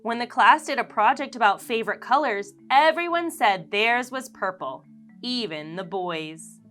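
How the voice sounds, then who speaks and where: A woman, a children's teacher, reading a picture book aloud in an animated, expressive storytelling manner. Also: fairly high pitch, unhurried, faint music behind.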